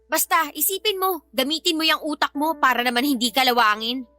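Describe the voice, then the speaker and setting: A woman's voice: high voice, brisk, pushed and bright. An actress playing an irritated, defiant young mother in a radio drama, sarcastic and scolding, with a Filipino accent. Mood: exasperated scolding.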